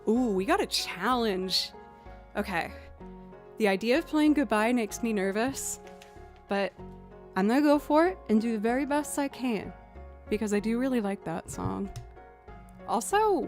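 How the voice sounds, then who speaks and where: Chatty young woman with a lower voice. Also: animated, normal speed, a little mellow, soft background music. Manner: chatty, thinking aloud with fillers. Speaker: musician streamer. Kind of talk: casual live-stream chat.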